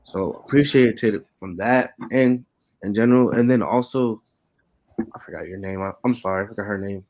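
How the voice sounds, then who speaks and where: A man in his late twenties, an artist, talking casually and haltingly on a panel with lots of "you know". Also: fairly low pitch, a little mellow, rough recording. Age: late 20s. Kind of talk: casual unscripted artist talk.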